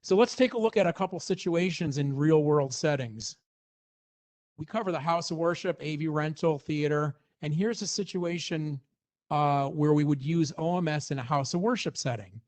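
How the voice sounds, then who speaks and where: A man's voice: fairly high pitch, darkish tone. A man presenting a product walkthrough in an informal, explanatory way, as in a semi-scripted technical demonstration.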